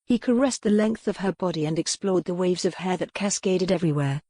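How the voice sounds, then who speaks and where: A woman's voice: fairly low pitch, a little fast, mellow, no room sound. A woman reading scripted historical romance narration as an audiobook narrator, recorded close in a dry space.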